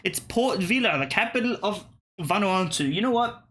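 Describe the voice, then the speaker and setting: A man's voice: high voice, lively intonation, moderate pace, noisy background. A young man casually thinking aloud and swearing while playing geography web games. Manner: thinking aloud, profane, muttering and restarting. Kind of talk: casual gameplay commentary.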